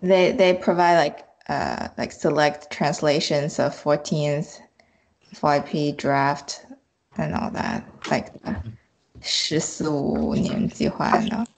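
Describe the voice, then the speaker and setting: A woman's voice: low-pitched, expressive, takes it slowly, vocal fry, poor audio. A woman chatting casually as a participant on a podcast, with faint noise and clicks on the recording. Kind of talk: casual chat.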